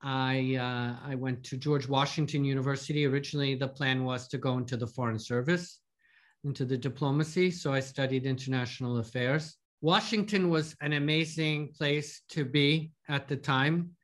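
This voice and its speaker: New York man in his fifties, halting. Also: medium pitch, fairly even intonation. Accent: American, New York. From New York, USA. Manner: hesitant, many fillers and repeated words. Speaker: podcast guest, lawyer. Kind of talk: casual interview talk.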